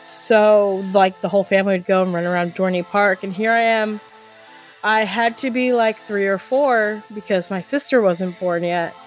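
A woman's voice: mid-range voice, normal speed, soft background music, poor audio. An American woman in middle age telling stories in casual chat, animatedly acting out what people said. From eastern Pennsylvania, US. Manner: animated storytelling, acts out quoted remarks. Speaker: podcast co-host or guest.

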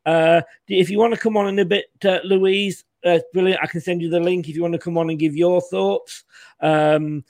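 A man's voice: high-pitched, level tone, moderate pace, a little mellow, no echo, clean studio sound. A man hosting a football fan live stream, rambling and laughing casually while losing his voice. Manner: laughing, rambling, repeats himself. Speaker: host.

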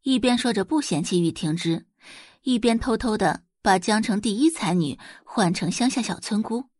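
A woman reading a novel as an audiobook narrator, with expressive scripted delivery. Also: medium pitch, moderate pace, no room sound, clean studio sound.

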